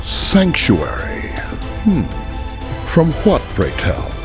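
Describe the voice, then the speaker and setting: An actor playing a learned older gentleman hero in a video game, with a measured, courteous, musing manner. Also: medium pitch, wildly swooping pitch, exaggerated voice. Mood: intrigued, courteous. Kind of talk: video game cutscene acting. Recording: music underneath.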